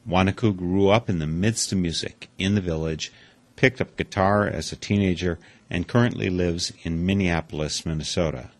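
A man hosting a radio interview, reading a smooth scripted introduction and then asking plain questions. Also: low-pitched, dry recording. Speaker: radio host.

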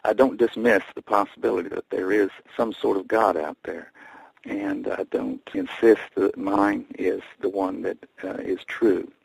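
A man with a Southern Tennessee accent talks in a gravelly, husky voice, wandering through memories in reflective, rambling stories. His voice sits fairly low, and the studio sound is close and dry with no room around it. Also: steady tone, unhurried, no room sound, rough recording. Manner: rambling, reflective storytelling with soft chuckles. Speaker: musician guest. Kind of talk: radio interview reminiscing.

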